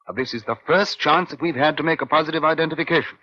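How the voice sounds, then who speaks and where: An actor playing a calm middle-aged police inspector in a 1950s radio crime drama, measured, formal and dryly probing. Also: male voice, a little high, close to an everyday voice. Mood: firm, reasoning. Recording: dull, band-limited old radio recording.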